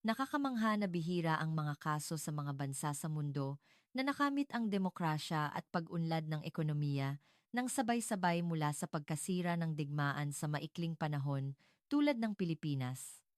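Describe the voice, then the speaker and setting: A woman reading a scripted dramatic narration in a measured, earnest storytelling manner. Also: sits low, animated, dry recording, studio quality.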